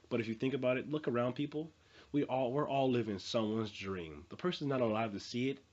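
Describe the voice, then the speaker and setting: An earnest young man in his twenties gives a rambling motivational talk, full of fillers and repeated phrases. His voice sits fairly low and sounds husky. The recording is dull and muffled. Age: 20s. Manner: earnest, repetitive, with many fillers and restarts. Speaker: motivational vlogger. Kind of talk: unscripted motivational monologue.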